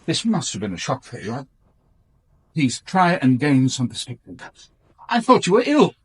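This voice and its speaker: An actor playing a smooth-talking, evasive married lover pleading with his mistress in a radio drama, stammering when pressed. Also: medium pitch, huge pitch swings, normal speed, full character voice. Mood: wary, placating.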